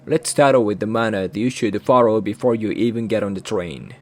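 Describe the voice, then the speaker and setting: A man with a Japanese accent, a native Japanese speaker, hosting a channel and narrating a scripted guide in even, careful short phrases. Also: fairly low pitch, moderate pace, loud music behind the voice. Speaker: channel host.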